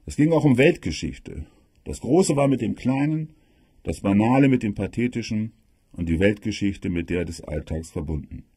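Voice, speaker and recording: Husky, low-voiced man telling history. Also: lively intonation, mellow, no echo. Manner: emphatic storytelling with rhetorical questions and dramatic pauses. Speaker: historian narrator. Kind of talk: spoken history lecture for an audio production.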